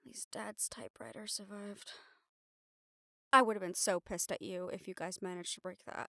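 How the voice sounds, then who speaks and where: A woman's voice: medium pitch, fairly even intonation, normal speed, sharp tone. A woman acting a weary, sardonic, stubborn young newspaper reporter in an intimate audio roleplay, talking in a casual, wry, sweary way. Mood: tired, wry.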